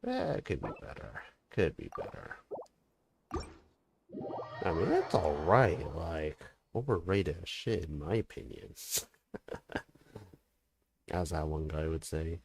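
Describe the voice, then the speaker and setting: A man's voice: low-pitched, sing-song, takes it slowly, gravelly, long pauses, background noise. A man chatting casually on a gaming livestream, talking in slang and swearing freely. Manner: slangy, swearing, scat-singing to himself. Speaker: game streamer.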